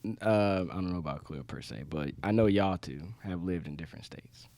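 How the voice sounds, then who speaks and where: A man co-hosting a podcast, asking questions in a relaxed chat and often saying "you know what I'm saying". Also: low-pitched, brisk, gravelly, no echo. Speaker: podcast co-host.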